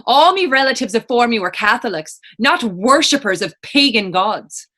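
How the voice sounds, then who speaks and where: A woman's voice: on the high side, animated, normal speed, bright, forceful tone. An actress playing a proud, defiant woman reputed to be a witch, taunting in folksy dialect in a stage reading. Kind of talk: stage readers theater acting.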